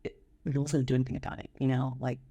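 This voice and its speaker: A woman's voice: very deep voice, little pitch movement, very fast, warm tone, breathy edge, highs cut off. A woman with an American accent chatting casually as a podcast guest, in a conversational way. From United States. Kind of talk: casual chat.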